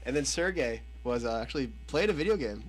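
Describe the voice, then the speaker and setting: A man's voice: medium pitch, animated, quick pace, over music. A young man co-hosting a radio show with casual, joking banter. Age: young adult.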